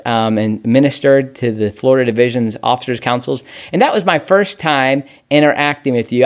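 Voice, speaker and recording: A man hosting a podcast interview, asking questions in an eager way and often restarting his sentences. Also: on the high side. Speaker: podcast host.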